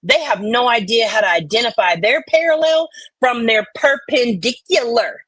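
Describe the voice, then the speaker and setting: A woman's voice: fairly high pitch, normal speed, fairly bright tone, slightly rough, no room sound, rough recording. A woman co-host doing irreverent, sweary dramatic readings of online comments mixed with banter.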